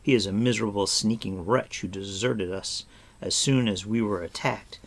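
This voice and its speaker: A man voicing an earnest, stern young hero in an audiobook, firm, serious and quick to scold. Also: fairly low pitch. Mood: angry, scornful. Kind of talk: audiobook character voice.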